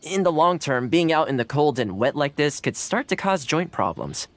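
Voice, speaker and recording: A man's voice: on the high side, sing-song, normal speed, no room sound. An actor playing a kind, friendly young man in a video game, with a reassuring way of talking. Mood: warm, planning. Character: kind, upbeat young swordsman fond of dogs. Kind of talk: video game character dialogue. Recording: music behind the voice.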